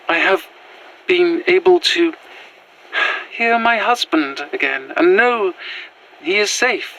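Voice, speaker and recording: Audio drama: revived Victorian lady, formal antique diction, bright voice, noisy field recording. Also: lowish voice. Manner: formal, old-fashioned, prim diction. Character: prim Victorian widow, a revived spirit in another's body. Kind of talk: found-footage audio drama monologue.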